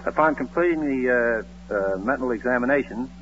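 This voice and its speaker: A man, an army officer, answering interview questions in a formal, halting, explanatory way. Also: medium pitch, expressive, a bit bright, muffled recording. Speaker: army air officer, radio guest. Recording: band-limited old radio recording, faint noise.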